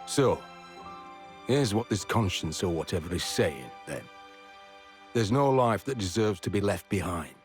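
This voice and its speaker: An actor playing a gruff, laconic sceptic in a video game, offhand and dry in his delivery. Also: low voice, expressive, measured pace, long pauses, faint music behind. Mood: dry, sceptical. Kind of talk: video game cutscene acting.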